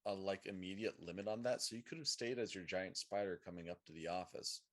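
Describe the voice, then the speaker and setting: Laid-back low-voiced man. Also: quick pace. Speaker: player. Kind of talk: casual chat, plugging his socials.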